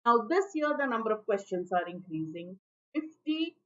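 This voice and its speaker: A woman's voice: mid-range voice, very animated, normal speed. A woman teaching for a law entrance exam in a friendly, chatty online lesson. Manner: friendly, chatty, with restarts and fillers. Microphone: low-level stream mic. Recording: dull, muffled livestream audio.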